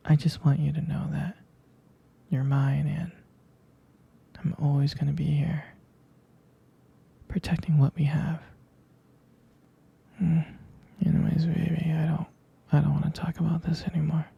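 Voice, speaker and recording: A man playing a protective, jealous, devoted boyfriend in an intimate ASMR roleplay, murmuring tenderly and haltingly in a husky whisper with gravelly fry. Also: a little high, flat delivery, dark tone, dull audio, exaggerated voice. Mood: reassuring, tender. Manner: slow, halting, tender, confiding. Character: protective, jealous, devoted young boyfriend soothing his partner.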